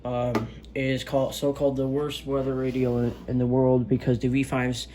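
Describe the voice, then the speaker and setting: A man's voice: mid-range voice, level tone, normal speed, dark tone. Man casually reviewing gadgets, noisy recording. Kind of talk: casual unscripted product review.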